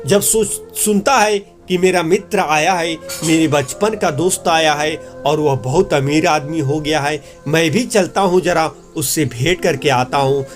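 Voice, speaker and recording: A man narrating a scripted moral story for a cartoon, as a storyteller. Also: sits high.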